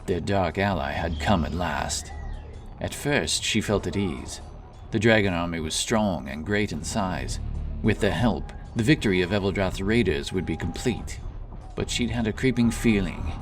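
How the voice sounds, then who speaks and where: Really deep guy narrating a fantasy story, solemn and dramatic, bit of music underneath. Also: measured pace.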